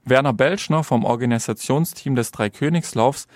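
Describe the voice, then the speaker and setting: A man's voice: mid-range voice, moderate pace, dry recording, studio quality. Man narrating a scripted news report.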